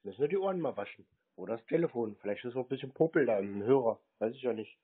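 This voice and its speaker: A man on a prank phone call, acting obtuse with deadpan short replies. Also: mid-range voice, quick pace, breathy edge. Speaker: prank scambaiter. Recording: muffled narrow phone-like sound.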